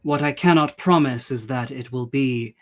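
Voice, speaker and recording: A man's voice: mid-range voice, moderate pace, close to an everyday voice. A man playing a formal, solemn male seer giving counsel in a tabletop game, with stately phrasing. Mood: solemn, assured.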